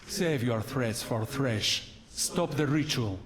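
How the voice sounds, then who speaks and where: An actor playing a solemn guide who recounts dark legends in a grave, formal and deliberate way for a fantasy game. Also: male voice, medium pitch, a crowd in the background, lightly acted. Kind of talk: dramatic fantasy game narration. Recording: long reverb, music behind.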